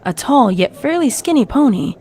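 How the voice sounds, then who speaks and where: A woman's voice: sits high, sing-song, normal speed, darkish tone. A woman reading scripted narration of a fan-written horror story.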